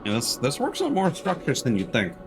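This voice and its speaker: A man's voice: medium pitch, wildly swooping pitch, a little fast, music in the background. A man giving casual gameplay commentary as a streamer, thinking aloud as he plays. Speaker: video game streamer. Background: game sound.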